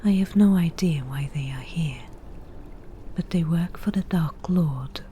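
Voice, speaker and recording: A woman playing a wry forest witch who bargains over potions, in a soft breathy whisper with a slow, dryly teasing manner, as ASMR fantasy roleplay. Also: sits low, slight background noise. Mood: calm, wry.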